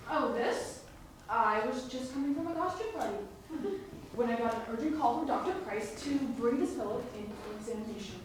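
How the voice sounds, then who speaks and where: A woman playing a bold, quick-witted schemer in a stage musical, with a brassy, playful, theatrical delivery. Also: on the high side, expressive, close to an everyday voice. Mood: brassy bluffing. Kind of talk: live stage musical acting. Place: staged reading before a live audience. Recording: echoey hall, distant mic, clear noise.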